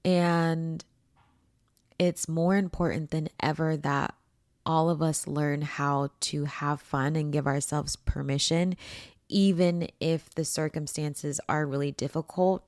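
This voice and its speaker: Hoarse, low-voiced woman podcast host. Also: no echo, clean studio sound. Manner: enthusiastic, chatty, with casual swearing. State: hoarse, froggy voice from allergies. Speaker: podcast host and spiritual coach. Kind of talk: casual solo podcast talk.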